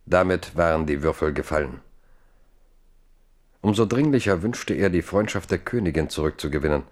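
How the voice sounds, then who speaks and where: Low-voiced solemn male narrator. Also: lively intonation, normal speed. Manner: formal, solemn, chronicle-like. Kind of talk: scripted literary narration in a radio play.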